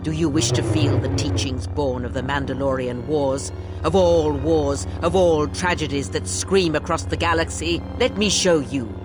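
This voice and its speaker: An older woman playing a bitter, contemptuous old Sith master in a video game, speaking in a theatrical, deliberate, preaching way. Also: low voice, lightly acted, rough recording. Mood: scornful, intense. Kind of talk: dramatic video game monologue. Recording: music behind. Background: music.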